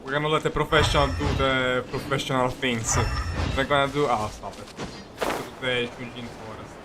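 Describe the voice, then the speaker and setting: A man streaming a video game with casual, rambling commentary, halting and jokey, often repeating his words. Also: mid-range voice, steady tone, very noisy. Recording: game music behind the voice. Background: game music.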